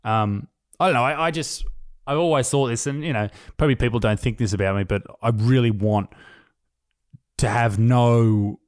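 A man's voice: mid-range voice, moderate pace, dry recording, clean studio sound. An Australian man hosting a podcast in casual chat, blunt and swearing freely in loose run-on talk. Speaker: podcast host.

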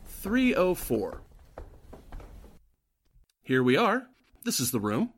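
A man's voice: a little high, huge pitch swings, takes it slowly, slight background noise, heavily acted. An actor playing a duplicitous henchman with a coaxing, placating manner in a 1940s-style radio drama. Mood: falsely friendly. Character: smooth-talking, duplicitous male henchman posing as friend.

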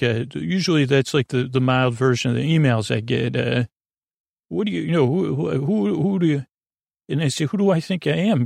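A man hosting a sleep podcast in a casual, meandering monologue, halting and repeating himself as he drifts into tangents. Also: medium pitch, creaky, darkish tone, no echo, clean studio sound. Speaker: podcast host.

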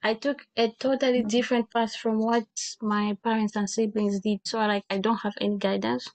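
Young woman, slightly high pitch, podcast interviewer, casual conversational delivery, dry sound. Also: little pitch movement, normal speed, darkish tone, no room sound, low-quality recording. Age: young adult.

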